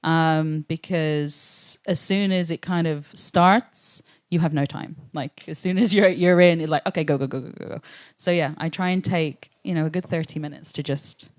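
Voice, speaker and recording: A woman's voice: sits low, steady tone, moderate pace, few pauses, a little mellow. A woman singer chatting casually as a guest in a panel interview.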